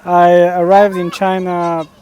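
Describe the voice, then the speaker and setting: A woman's voice: fairly low pitch, flat delivery, unhurried, a little mellow, lo-fi sound, a little hiss or hum. A woman introducing herself casually in an interview. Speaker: interviewee, foreign resident.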